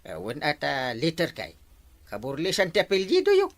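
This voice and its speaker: A man's voice: fairly high pitch, huge pitch swings, moderate pace. Man acting an exasperated, wildly swooping village captain. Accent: Ilocano. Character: exasperated male village captain keeping order. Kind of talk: broad barangay comedy acting.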